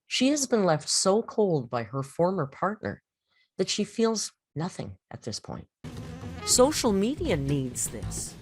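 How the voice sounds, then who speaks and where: A woman giving a dramatic poetry reading and commentary, with a theatrical, deliberate, grandiose delivery. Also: lowish voice, sing-song. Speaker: host reading and critiquing a poem. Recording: music under the voice. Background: background music.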